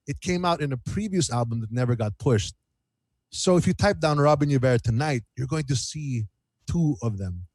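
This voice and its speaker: A man chatting casually as a singer-songwriter guest in an interview, animated and rambling, repeating phrases for emphasis. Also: medium pitch, moderate pace, dry recording, poor audio. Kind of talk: casual radio interview chat.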